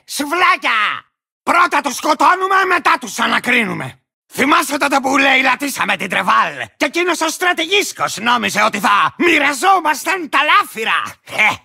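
A man voicing a manic, boastful, hot-tempered little warrior creature in a video game, with a gravelly, cracked voice and a ranting, barking delivery. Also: very high-pitched, sharp tone, exaggerated voice. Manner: ranting, pompous, barking, bursting into cackles. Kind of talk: cartoonish video game character acting.

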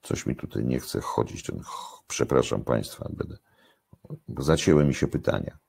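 A man in his sixties from Poland giving unscripted live commentary, halting and deliberate, repeating phrases for emphasis, with a husky voice. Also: rumbling low, darkish tone. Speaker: commentator answering viewers' questions. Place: indoors, home kitchen.

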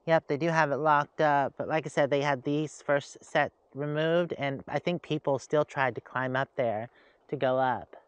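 A man's voice: a little high, normal speed, clean tone, no room sound. A man hosting a casual travel vlog, chatting and thinking aloud as he drives, recorded inside a vehicle with road noise. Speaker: host.

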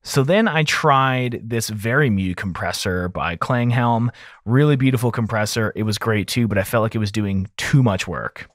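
A man talking informally and thinking aloud as an audio engineer in a software tutorial voice-over. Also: on the low side, normal speed, no echo, studio quality.

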